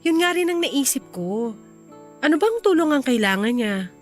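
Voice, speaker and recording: An actress playing a sharp-tongued young Filipino wife and mother in a radio drama, expressive and emotional. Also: high-pitched, moderate pace, soft background music. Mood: casual, curious.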